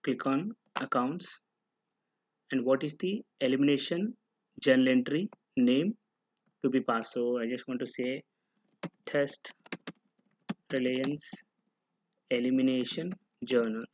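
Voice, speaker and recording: A man narrates a software tutorial as an instructor, explaining step by step and often posing questions that he then answers. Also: medium pitch, slow, pauses often, dry recording. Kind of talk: screen-recorded tutorial narration. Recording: noticeable background noise with clicking.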